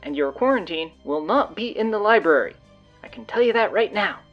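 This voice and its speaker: An actress playing a bossy, sardonic teenage high school girl with a blunt, exasperated manner in an audio drama. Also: lively intonation, brisk, muffled recording, faint music behind, close to an everyday voice.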